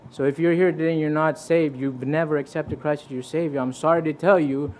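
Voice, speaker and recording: A man's voice: on the high side, steady tone, brisk, a little mellow, slight background noise, rough recording. Earnest young man preaching a testimony. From Fiji. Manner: earnest, conversational, with restarts and quoted scripture. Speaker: visiting preacher. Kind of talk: sermon with personal testimony. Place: church.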